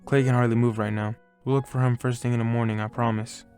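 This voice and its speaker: A level-headed, caring battle teammate played by a man speaks in a plain, middle-pitched voice. The style is sober audio drama acting with faint music underneath. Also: flat delivery, fast, darkish tone, lightly acted. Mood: sad, reassuring.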